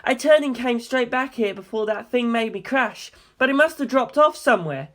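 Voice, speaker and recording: A reader voicing an excitable young male pilot in an audiobook, loud, agitated and blurting his words out. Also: medium pitch, moderate pace, pitched above the performer's own voice, natural voice. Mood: rattled, excited. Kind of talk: acted dialogue in an audiobook.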